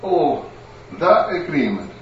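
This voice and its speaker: A sheepish, hesitant man stammers out excuses, as a narrator puts him on. The voice is lifted above the narrator's own and kept quiet. It sounds distant and roomy. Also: fairly high pitch, big pitch swings, takes it slowly, highs cut off, pitched above the performer's own voice. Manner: hesitant, meek. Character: sheepish caught-out grown man.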